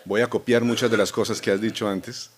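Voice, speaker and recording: A man with a Colombian accent, a novelist accepting a prize, speaking in a measured, reflective way with soft hesitations. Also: lowish voice, fairly even intonation. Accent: Colombian Spanish. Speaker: award-winning novelist.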